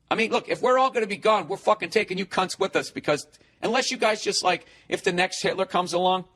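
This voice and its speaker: A man's voice: sits high, a bit bright. A man in his forties in a solo comedic podcast rant, profane and sarcastic. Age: 40s. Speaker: podcast host.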